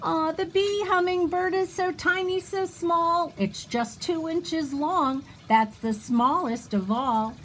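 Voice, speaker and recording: A woman reading a rhyming picture book aloud to young children in an expressive, sing-song way, pausing for little asides. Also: very high-pitched, slight background noise. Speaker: children's storybook reader.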